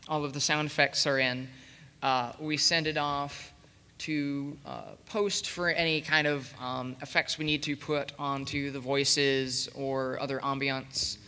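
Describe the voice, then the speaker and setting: Male panelist, bright voice, halting explainer, live in an echoing hall. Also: fairly high pitch, smooth. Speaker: panelist explaining audio production. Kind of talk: unscripted panel talk. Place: large convention hall, panel table. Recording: reverberant hall sound.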